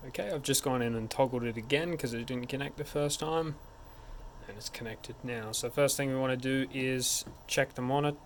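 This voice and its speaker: A man's voice: medium pitch, normal speed. Man giving a tutorial over background noise. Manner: informal, step-by-step explaining. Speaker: tech support instructor. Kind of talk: hands-on tutorial narration.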